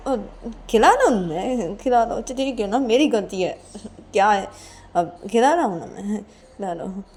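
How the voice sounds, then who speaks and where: A woman's voice: medium pitch, expressive, a little mellow, voice pushed up, lightly acted. A woman playing an irritable young husband in an audio romance story, protesting and repeating questions in exasperation. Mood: flustered protest. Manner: exasperated, repetitive, rapid-fire questioning and protest. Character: irritable young husband, indignant then pleading.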